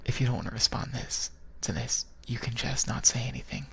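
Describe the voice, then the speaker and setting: A man playing a shy, lovestruck friend in an ASMR boyfriend roleplay, speaking in a soft, breathy whisper with a hesitant, halting manner. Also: medium pitch, flat delivery. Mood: nervous, hesitant. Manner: hesitant, halting, gently awkward. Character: shy, lovestruck male friend confessing feelings.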